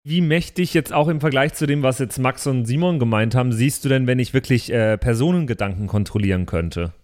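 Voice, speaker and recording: Male tabletop player, medium pitch, casual and tentative, building ideas out loud piece by piece. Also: a little fast. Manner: hesitant, thinking aloud in fragments. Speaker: tabletop role-playing player. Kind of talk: casual chat.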